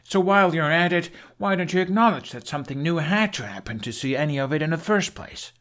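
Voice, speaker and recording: A sarcastic young Viking man performed by a male storyteller talks in a raised, animated voice. He is defiant and quick to snap, in audiobook dialogue. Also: a little high, voice pushed up. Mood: sarcastic, exasperated. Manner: sneering, snappy, disdainful.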